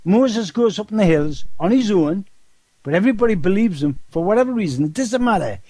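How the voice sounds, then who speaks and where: A man's voice: high voice, lively intonation, brisk, dark tone, dry recording. An older man with a Geordie accent, a guest in an interview, talking in a rambling way with repeated words and rhetorical questions. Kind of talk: casual phone-style interview talk.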